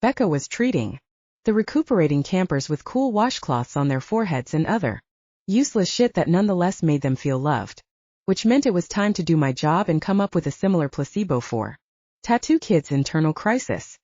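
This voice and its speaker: A woman's voice: on the low side, big pitch swings, fairly quick, mellow, no room sound, muffled recording. A woman reading scripted fiction as an audiobook narrator.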